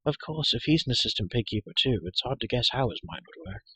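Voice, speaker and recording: A man voicing a brisk, self-assured, talkative young girl in a storybook, prim and matter-of-fact. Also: mid-range voice, expressive, bright, forceful tone, slightly rough, no room sound, full character voice. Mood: musing, sensible.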